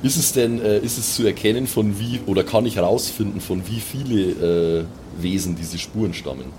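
Man, low voice, southern German accent, colloquial matter-of-fact role-play talk, faint noise behind. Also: moderate pace. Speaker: player in a pen and paper role-play podcast. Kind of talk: casual improvised role-play chat.

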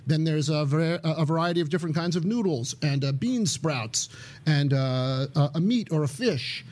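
A man in a casual podcast chat, talking enthusiastically in a halting, rambling way with many fillers. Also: a little high, darkish tone. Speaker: podcast guest.

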